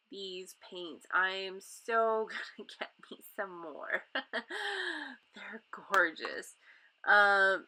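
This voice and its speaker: A middle-aged woman from Canada talks through her crafting in a loose, rambling way, full of ums and restarts. Her voice is bright and clear, but stuffy and nasal from a lingering cold. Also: medium pitch, rough recording. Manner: chatty, rambling, many ums. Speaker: craft vlogger. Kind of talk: casual crafting voice-over.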